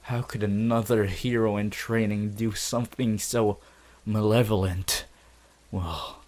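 A man voicing a sinister young male villain in an ASMR roleplay, possessive and grandiose, speaking in a gloating, deliberate manner with dramatic pauses. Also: deep voice, darkish tone. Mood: bitter menace. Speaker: voice actor.

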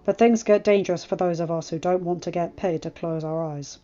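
A woman's voice: on the low side, fairly even intonation, brisk, dark tone, lightly acted. A woman voicing a respectful, honest male guard captain in a story, matter-of-fact. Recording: muffled lo-fi recording, music behind.

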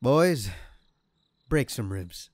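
A man's voice: mid-range voice, animated, extremely slow, dry recording. A man playing a smugly menacing, vengeful crime villain in an audio roleplay, with a mocking, theatrical delivery. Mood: bitter, menacing. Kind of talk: scripted ASMR audio roleplay.

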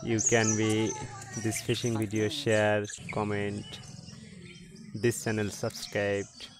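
A man giving excited, repetitive commentary by a village pond, recorded on a camera mic. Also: lowish voice, slow. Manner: excitable, repeats short exclamations. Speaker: fishing channel host. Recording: noisy outdoor camera audio.

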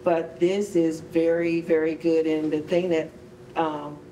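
A middle-aged woman speaks in a low, mellow voice, offering unscripted, heartfelt comments as an audience member. There is faint noise and rumble behind her. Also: level tone, measured pace, rough recording. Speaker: audience member giving feedback. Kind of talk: spontaneous heartfelt audience feedback. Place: large bare concrete rehearsal hall.

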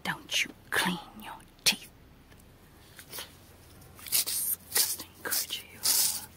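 A woman playing a sinister, scolding evil clown dentist in a breathy ASMR roleplay whisper with drawn-out pauses. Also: pushed and bright. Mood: scolding, disgusted.

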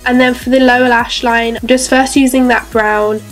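A woman's voice: on the high side, flat delivery, normal speed, soft background music. A young woman chatting through a makeup tutorial, sounding a bit stuffy from a cold. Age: young adult. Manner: chatty, bubbly, lots of "so yeah". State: congested from a cold. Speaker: beauty vlogger. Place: bedroom with clothes rail.

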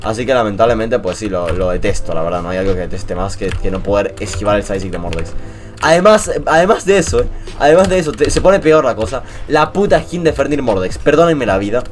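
A young man from Venezuela with a mixed Latin American and Spanish accent, commenting casually over his gameplay in an animated, swearing, joking rant. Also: fairly low pitch, a little fast, soft background music. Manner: animated ranting, swears, jokey. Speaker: gaming YouTuber.

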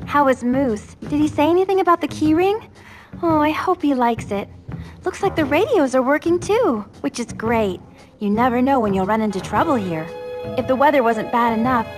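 An actress playing a friendly, excitable young woman who runs a ski chalet in a video game, chatty and expressive. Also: sits high, normal speed, faint music behind, lightly acted. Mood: chatty, bright. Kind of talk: animated video game voice acting.

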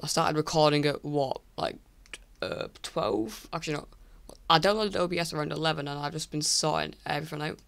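A teenage boy from England ranking online creators in casual screen-recorded commentary, rambling and apologetic with many restarts, his voice croaky from recording late at night. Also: fairly high pitch, brisk, fairly bright tone. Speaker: YouTuber. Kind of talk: casual tier list commentary.

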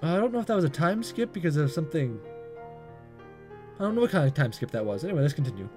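A man reading a visual novel aloud as a gaming host, expressive but amateur, stumbling and rereading lines. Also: fairly high pitch, fast talker, faint music behind.

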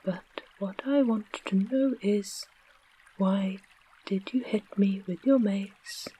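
A reader voicing a calm, literal-minded clockwork man in a soft whisper, read slowly. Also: mid-range voice, slight background noise, natural voice. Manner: whispered, matter-of-fact.

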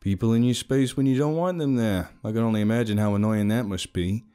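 A man's voice: on the low side, big pitch swings, a little slow, smooth. A man voicing a defiant, foul-mouthed young man who talks back to his captors. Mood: sarcastic. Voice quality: loud and profane, shouting.

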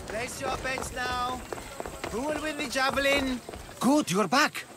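High-voiced scheming gambler. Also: male voice, slow, noisy background. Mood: eager hustling. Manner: wheedling, hustling. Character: scheming, eager male gambler in ancient Greece. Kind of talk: video game character acting.

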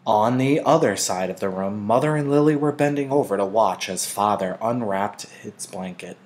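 Male narrator, fairly deep voice, teacher reading a novel aloud.

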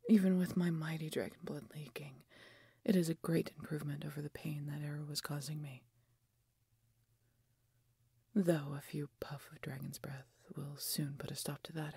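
A proud, grudging female dragon, acted by a woman in a fantasy audio roleplay. Her voice is deep and dark, heavy with breathy huskiness. She speaks with theatrical, imperious weight, like wounded royalty. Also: flat delivery, dry recording, exaggerated voice. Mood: softened, grateful. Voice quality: breathy, husky. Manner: imperious, theatrical, deliberate phrasing.

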